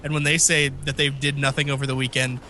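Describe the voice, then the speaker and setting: A man co-hosting a casual podcast, telling rambling stories with a slightly phlegmy, raspy voice. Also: medium pitch, little pitch movement, quick pace, sharp tone, slight background noise. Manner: rambling, self-interrupting storyteller. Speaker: podcast co-host. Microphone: remote call mic.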